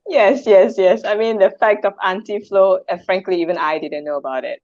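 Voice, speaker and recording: A woman's voice: medium pitch, a little fast, a little mellow. A woman in her thirties with a Malaysian accent, a guest chatting casually, laughing often and tossing rhetorical questions. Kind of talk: casual chat. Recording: dull lo-fi sound.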